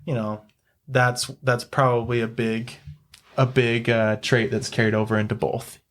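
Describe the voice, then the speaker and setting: A man co-hosting a podcast, agreeable and halting, quick to laugh. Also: medium pitch, level tone. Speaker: podcast co-host. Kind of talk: casual conversational interview.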